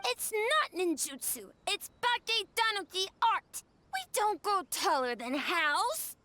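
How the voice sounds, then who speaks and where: An actress voicing a small, childlike, excitable tanuki yokai in a video game, exclaiming in short, broken third-person phrases. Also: female voice, very high-pitched, slow pace, no echo, full character voice. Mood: proud, gleeful. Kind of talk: English game voice acting.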